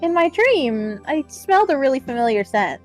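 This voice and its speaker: A woman's voice: high-pitched, sing-song, moderate pace, soft background music. A woman voicing an anxious, sweet, girlish younger sister in a comic fan dub. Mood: wistful.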